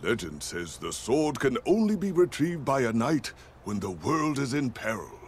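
Serious older knight in a video game, measured n formal, pitch moves around. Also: male voice, sing-song, slight background noise. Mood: grave. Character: grave older male knight, stern and mentoring. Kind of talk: dubbed game dialogue.

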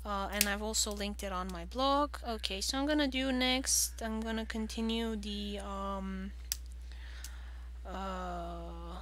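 A woman's voice: medium pitch, fairly even intonation, takes it slowly, fairly bright tone, a little hiss or hum. A woman giving casual game walkthrough commentary, thinking aloud in a hesitant way. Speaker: gaming video host.

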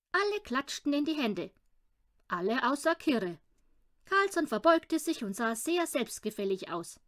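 Female audiobook reader for children's stories; high-pitched, expressive, highly animated narration.

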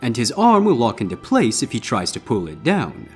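A man voicing a theatrical, ominous pony stage magician with deliberate showman's patter in a storybook reading. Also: mid-range voice, huge pitch swings, a little mellow, breathy edge, soft background music, voice pushed up, exaggerated voice. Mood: commanding, eerie. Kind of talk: character voice in audiobook-style fan fiction reading.

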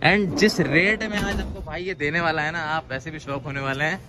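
Fast-talking young man vlogging outdoors. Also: a little high, fairly even intonation, crowd noise. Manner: chatty, asks questions, repeats "okay, okay". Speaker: car vlogger host. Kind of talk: casual vlog walkthrough. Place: outdoor used car lot.

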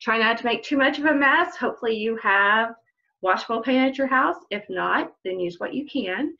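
A woman's voice: a little high, expressive, normal speed. A middle-aged woman hosting a children's story time as a librarian, explaining things simply, on lo-fi home webcam audio. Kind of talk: children's story time read-aloud and craft instructions. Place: indoors in a room at home.

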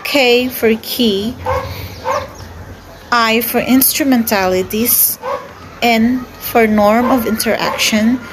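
A young woman from the Philippines, a first-year student, slowly reading a class report. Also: fairly high pitch, steady tone, background noise. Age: young adult. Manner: slow, careful reading. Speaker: student presenter.

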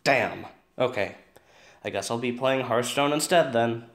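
A man's voice: medium pitch, measured pace, a bit bright. Man playing a dry, principled teenage boyfriend. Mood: easygoing. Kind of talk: amateur audio drama acting.

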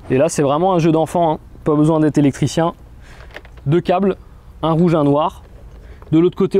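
A man's voice: a little high, warm tone. A man in his thirties casually explaining equipment outdoors beside a car, chatty with frequent fillers. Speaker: product demonstrator. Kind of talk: casual product demo.

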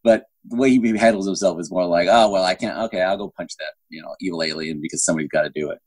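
A middle-aged man speaks in a low, husky voice with very little room sound. He chats casually as an interview guest, looping back and restarting his thoughts as he explains. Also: steady tone, fast, darkish tone, dry recording. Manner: rambling, self-interrupting with repeated false starts. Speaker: guest novelist. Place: indoor room at home. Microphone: phone at arm's length on a video call.

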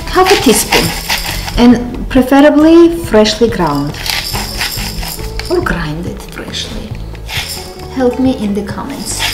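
A woman's voice: fairly high pitch, reverberant, low-quality recording. A woman in her thirties whose first language is Armenian, hosting a cooking show in a large home kitchen and chatting casually, correcting herself and laughing at herself as she cooks. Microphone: handheld camera close up, then camera on counter.

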